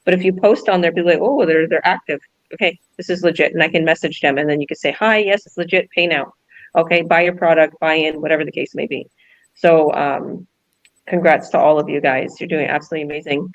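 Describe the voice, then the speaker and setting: A woman's voice: deep voice, steady tone, fairly quick, no echo, lo-fi sound. A woman hosting as a business coach, giving emphatic motivational advice and repeating her key phrases.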